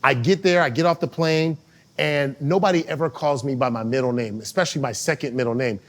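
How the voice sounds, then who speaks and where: A man with an American accent, co-hosting a casual chat and telling stories in a lively way, acting out voices and laughing as he goes. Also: medium pitch, brisk. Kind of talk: casual chat, animated storytelling.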